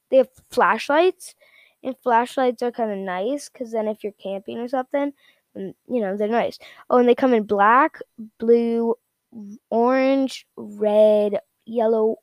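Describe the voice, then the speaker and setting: A woman giving a casual, unscripted product rundown in a halting, repetitive, informal way. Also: on the high side, a little mellow, no echo. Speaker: product reviewer.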